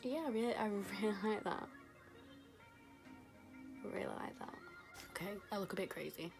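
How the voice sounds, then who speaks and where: Chatty young woman, voice going hoarse. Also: mid-range voice, normal speed. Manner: chatty, rambling, lots of fillers. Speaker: beauty vlogger. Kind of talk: casual makeup tutorial chat. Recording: music playing behind.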